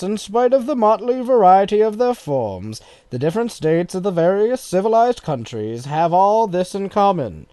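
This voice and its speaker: Man, fairly high voice, mellow tone, animated audiobook reading, dry sound. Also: smooth, no room sound. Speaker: audiobook reader of quoted passages. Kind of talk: scripted narration, reading quotations.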